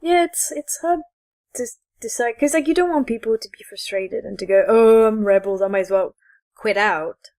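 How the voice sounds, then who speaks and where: A woman's voice: fairly high pitch, normal speed, a little mellow, dry recording, clean studio sound. A woman co-hosting a podcast in a casual, conversational discussion of games. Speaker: podcast co-host.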